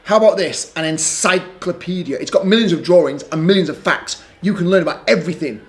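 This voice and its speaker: A man voicing a sensible older brother in a storybook, calm and reasoning. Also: high voice, a little fast, natural voice. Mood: patient. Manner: calm, reasoning, gently shushing. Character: patient older brother, young boy, sensible.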